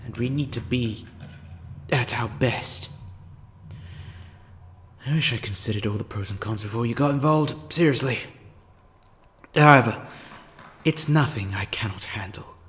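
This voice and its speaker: A man's voice: mid-range voice, a bit bright, close to an everyday voice. A man playing a scheming, self-important male time-meddler in a fan audio drama, speaking with sardonic impatience. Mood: conspiratorial. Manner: sardonic and impatient, slow deliberate delivery.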